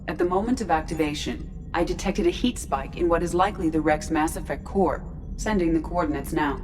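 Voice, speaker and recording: A woman playing a precise, calm ship's artificial intelligence in a video game, measured and formal. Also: low voice, over music, lightly acted. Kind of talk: video game cutscene dialogue.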